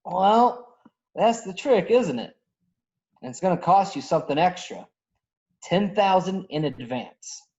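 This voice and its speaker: A man's voice: high-pitched, expressive, unhurried, long pauses, dark tone. Guy as cocky smuggler pilot around thirty, lighter voice, kinda muffled lo-fi table read. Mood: cocky bargaining. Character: cocky, tough, roguish male star pilot, about thirty. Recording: dull, lo-fi online call.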